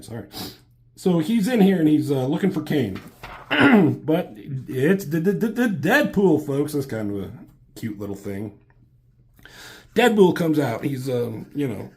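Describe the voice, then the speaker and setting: A man in his thirties, just getting over a cold so his voice sounds slightly off, reviewing comics at home in a loose, halting way, full of ums and casual swearing. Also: mid-range voice, sing-song. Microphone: camera mic.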